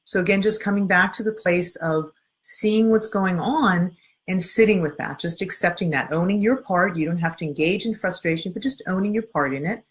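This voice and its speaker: A woman coaching an audience live, explaining her steps calmly and earnestly. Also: lowish voice, normal speed. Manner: strong voice. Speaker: coach and workshop leader. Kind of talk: live-streamed talk answering viewer comments.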